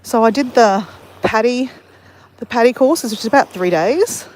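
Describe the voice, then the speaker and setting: A woman's voice: on the high side, normal speed, a little mellow. An Australian woman chatting casually while walking on a beach, telling a rambling story full of self-corrections. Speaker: vlogger. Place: outdoors on a beach.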